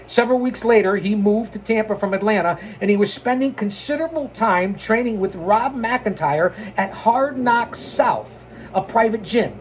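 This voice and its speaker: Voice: medium pitch, normal speed. Blunt, opinionated wrestling storyteller with noisy background. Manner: opinionated, blunt, sarcastic, swearing. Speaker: host of a pro wrestling storytelling show. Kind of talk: reading a scripted biography with ranting, informal asides.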